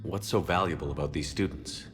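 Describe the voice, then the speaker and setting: An actor playing a calm, commanding military officer in a video game, speaking in a measured, direct, reassuring way. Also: male voice, very deep voice, over music. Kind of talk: video game dialogue acting.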